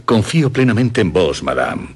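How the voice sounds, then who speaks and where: A man playing a courtly, affectionate father in a radio drama, speaking formally and expressively in a husky Castilian Spanish voice. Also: lowish voice, very animated, normal speed, darkish tone. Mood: courteous, concerned.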